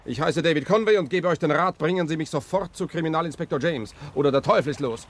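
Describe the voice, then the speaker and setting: An actor playing a brisk young journalist sleuth. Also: male voice, on the high side, close to an everyday voice. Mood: urgent. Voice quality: urgent, forthright. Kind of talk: 1960s German radio drama acting.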